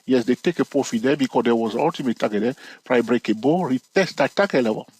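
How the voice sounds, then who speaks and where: Husky man teaching, checking in often. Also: quick pace, darkish tone, no room sound. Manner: talks to viewers directly with frequent "okay?" and "all right?" checks; playful, teasing, with sudden rises in pitch for emphasis. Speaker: trading educator. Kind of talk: casual screen-share chart lesson.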